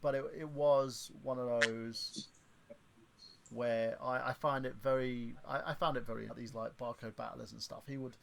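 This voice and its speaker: A man in his thirties hosting a livestream, chatting casually in a halting way with lots of fillers and restarts. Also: mid-range voice, steady tone, creaky. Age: 30s. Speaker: stream host.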